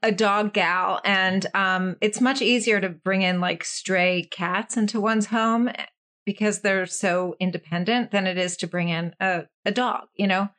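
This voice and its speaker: A woman's voice: medium pitch, fairly even intonation, a bit bright. A woman hosting an interview, asking follow-up questions with frequent restarts. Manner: inquisitive, halting follow-up questions. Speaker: interview host. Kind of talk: conversational interview.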